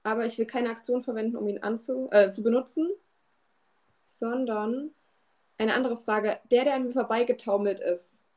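A woman's voice: a little high, fairly even intonation, normal speed, darkish tone. A woman chatting casually as a player in a tabletop game, tentative and full of questions about her moves.

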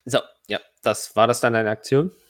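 A man running a tabletop role-playing game, improvising narration and casual rulings in a halting, hesitant way. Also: mid-range voice, fairly even intonation, dry recording, studio quality. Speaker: game master.

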